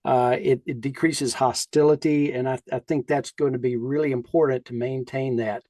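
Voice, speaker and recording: A man co-hosting a conversational podcast, explaining his thoughts in a measured, reflective way. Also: medium pitch, steady tone, a little mellow, no echo, clean studio sound. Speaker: co-host.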